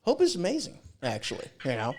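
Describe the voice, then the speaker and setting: A man's voice: sits high, wildly swooping pitch, fast talker, a little mellow, slight background noise. A man hosting a podcast livestream in a casual, rambling, informal way. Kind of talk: casual chat. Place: home podcast studio.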